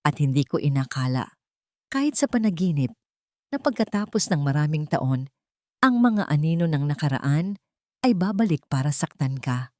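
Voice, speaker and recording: A woman's voice: low-pitched, sing-song, normal speed, darkish tone, no room sound, clean studio sound. A woman voicing a powerful older billionaire father in an audio story, grave and confessional, full of regret and protectiveness. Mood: remorseful.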